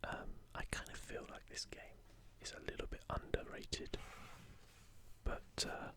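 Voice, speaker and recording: A man speaks entirely in a soft, breathy whisper, close to the microphone. He gives ASMR commentary in an informal, slightly hesitant way, as a host showing something to the listener. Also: measured pace.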